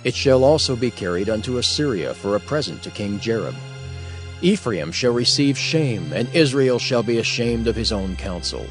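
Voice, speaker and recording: A man narrating a dramatized reading of King James scripture in a solemn, measured manner. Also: mid-range voice, lively intonation, faint music behind.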